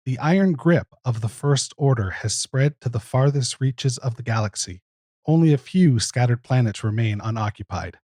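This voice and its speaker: A man plays a portentous narrator of a space opera prologue, in a medium-pitched male voice. The scripted delivery is deliberate and dramatic, recorded very dry. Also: mellow, dry recording, close to an everyday voice.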